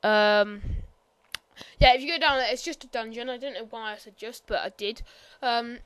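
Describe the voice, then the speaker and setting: A woman giving a casual, rambling game tour voiceover, hesitant and full of ums and apologies, sometimes bursting out loud. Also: on the high side, normal speed, clean tone, no room sound. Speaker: gameplay commentator.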